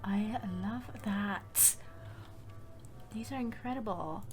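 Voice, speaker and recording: A woman's voice: mid-range voice, slow. A woman let's player giving casual reactions to a video game as she plays. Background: game music.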